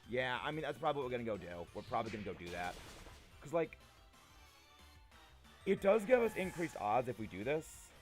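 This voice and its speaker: A young man chatting playfully with his stream viewers, a little gravelly and prone to repeating himself. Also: mid-range voice, sing-song, brisk, long pauses, faint music behind. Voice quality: slightly gravelly. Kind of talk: casual live-stream chat with viewers.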